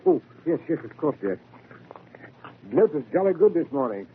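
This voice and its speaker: Tinny, high-voiced flustered British husband. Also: male voice, sing-song, moderate pace. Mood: placating. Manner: fussy, stammering, blustering. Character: flustered, placating English husband, pompous playboy type. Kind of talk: old-time radio acting. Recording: tinny, noisy old radio broadcast.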